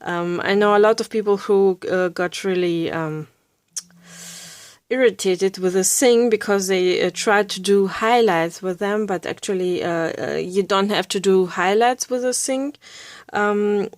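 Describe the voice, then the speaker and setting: Slow, hesitant woman with an accent. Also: medium pitch, dry recording. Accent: Russian and Bavarian German. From Russia. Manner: hesitant, many fillers, giggly; drops to a soft near-whisper while coloring. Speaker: art tutorial creator. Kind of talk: casual drawing tutorial.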